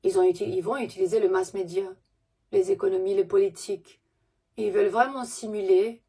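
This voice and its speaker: A woman giving a gentle, soothing spiritual message to her viewers in a language that is not her first, pausing often and correcting herself. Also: medium pitch, steady tone, slightly rough. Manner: gentle, soothing, halting with pauses and self-corrections; speaks French as a second language. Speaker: spiritual messenger.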